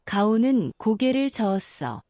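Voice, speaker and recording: Female storyteller for a bedtime story, mellow and soothing, melodic delivery, dry close sound. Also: on the high side, very animated, no echo. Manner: slow, soothing and gentle. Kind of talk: calm bedtime story narration.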